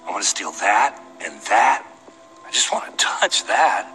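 A man's voice: fairly low pitch, very animated, a little slow, pushed and bright, soft background music, heavily acted, low-quality recording. An actor playing a mischievous big young guy who is eager to steal, in a video game. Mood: covetous, eager.

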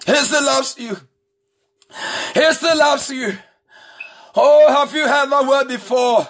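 Pastor guy yelling his sermon, sing-songy and repetitive, way high pitched for a man. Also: slow pace. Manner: shouting, repetitive, sing-song cadence. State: married. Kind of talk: impassioned preaching.

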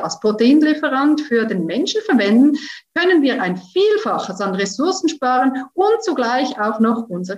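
A young woman from Switzerland with a Swiss German accent, presenting her research over a video call in a lively, playful way. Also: fairly high pitch. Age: young adult. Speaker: science slam presenter. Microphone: video call, computer mic. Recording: roomy, distant, dull sound.

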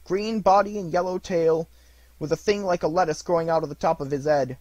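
A man's voice: a little high, steady tone, a little fast, a little mellow, no echo, muffled recording, pitched above the performer's own voice, natural voice. A man voicing an old miser who exclaims between laughing and crying, in a dry recording. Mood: ecstatic.

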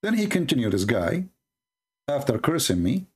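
A man's voice: mid-range voice, very animated, normal speed, slightly rough. A man whose first language is Arabic, hosting a heated debate and reading from texts on screen in a mocking, combative way. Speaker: debate host. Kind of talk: screen-share debate commentary.

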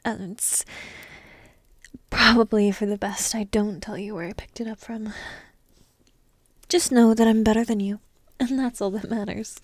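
A woman voicing a petite, playful, secretly affectionate dragon princess in a soft, breathy ASMR roleplay, teasing slowly and often slipping into whispers close to the mic. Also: mid-range voice, steady tone, no room sound, lightly acted. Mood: smug teasing. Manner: slow, teasing, intimate delivery with pauses. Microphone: close microphone in a dry room.

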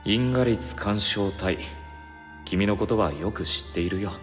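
An actor playing a courteous, enigmatic male sorcerer in an anime game, speaking with calm, measured, formal politeness. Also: on the low side, close to an everyday voice. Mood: courteous, composed. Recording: long reverb over background music.

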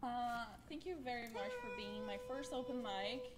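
A young woman in her twenties records a friendly, slightly nervous greeting into her cell phone. Her voice sits a little high, and she slips in small laughs. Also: lively intonation, normal speed. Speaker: duet partner.

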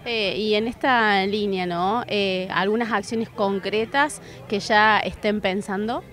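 Young woman interviewing, mid-pitched. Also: slow pace, fairly bright tone. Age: young adult. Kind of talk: local TV interview questions. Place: outdoors at a busy fairground. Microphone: handheld interview microphone.

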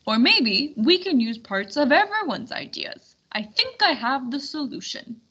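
A woman's voice: high-pitched, sing-song, measured pace, bright, forceful tone, lo-fi sound, voice pushed up, full character voice. A woman voicing an eager, take-charge medieval knight giving directions in a storybook. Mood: commanding. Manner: proclaiming, directing.

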